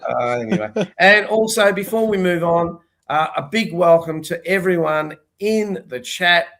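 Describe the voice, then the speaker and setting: A man's voice: high-pitched, a little slow, fairly bright tone. An older man hosting a casual panel show over a video call, sounding nasal and throaty from a cold, chatty and jokey. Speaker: host.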